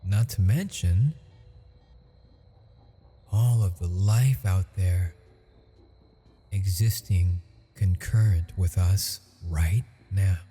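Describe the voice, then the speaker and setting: A man voicing a gentle, teasing male ghost who speaks softly and intimately in an ASMR audio roleplay, chuckling often. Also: low-pitched, extremely slow, pauses often, slightly rough, full character voice. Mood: tender.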